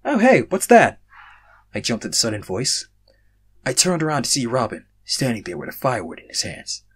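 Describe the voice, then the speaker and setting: A man's voice: medium pitch, sing-song, brisk, a bit bright, slightly rough. A man reading a fan fiction story aloud in an amateur way, sometimes stumbling over words and correcting himself.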